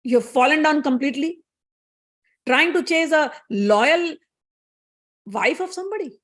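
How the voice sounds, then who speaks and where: A woman's voice: sits high, animated, fast talker. A woman with an Indian accent voicing a stern, righteous goddess who scolds and commands in a spoken myth. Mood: stern condemnation.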